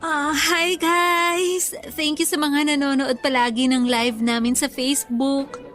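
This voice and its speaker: A woman's voice: high-pitched, little pitch movement, slow pace, a bit bright. An actress playing a sweet, devoted young girlfriend in a Tagalog radio romance, tender and effusive. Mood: surprised, happy. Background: faint music.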